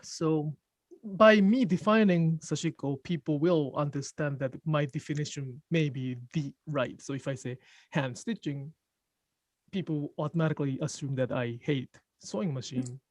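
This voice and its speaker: A man with a Japanese accent, a native Japanese speaker, talking about stitching in a casual group conversation, pausing to find words and often laughing. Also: on the high side, no room sound. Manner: thoughtful, pauses to search for English words, laughs often. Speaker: sashiko teacher.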